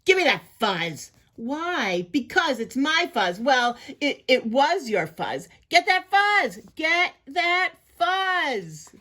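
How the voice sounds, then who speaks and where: Excitable little storybook prairie dogs, all put on by one woman shout and squabble. The voice jumps high and swings wildly in pitch, full of exclamations. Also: takes it slowly, few pauses, full character voice. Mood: squabbling. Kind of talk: animated children's storybook reading.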